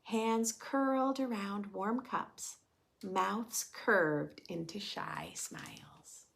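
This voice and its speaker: A woman hosting storytime, reading a picture book aloud to preschoolers in a gentle, expressive way and explaining it simply. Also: medium pitch, very slow, vocal fry. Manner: gentle, expressive, addressing young children with questions. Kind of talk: children's read-aloud with simple explanations and questions.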